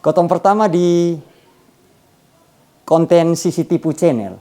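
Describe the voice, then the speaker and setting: A man's voice: a little high, lively intonation, measured pace, dark tone. A man from Indonesia with a Kupang Malay accent hosting a casual interview, often echoing answers back. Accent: Kupang Malay, eastern Indonesia. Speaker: host of an interview show.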